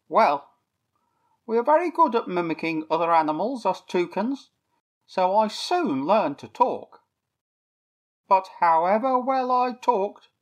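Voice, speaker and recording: A woman voicing a chatty male toucan with a squawky, excitable voice that repeats its phrases. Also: medium pitch, voice pushed up.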